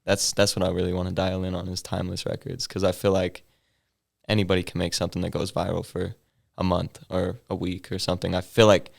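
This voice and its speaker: A young man, a hip hop artist, talking casually in an interview with a deep, bassy voice that he feels comes out mumbled, in laid-back slang full of fillers. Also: completely flat delivery, fast talker, creaky.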